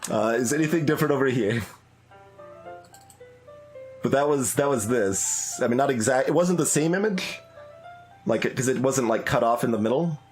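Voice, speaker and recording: A streamer giving casual playthrough commentary, halting and musing aloud. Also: mid-range voice, faint music behind. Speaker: video game streamer.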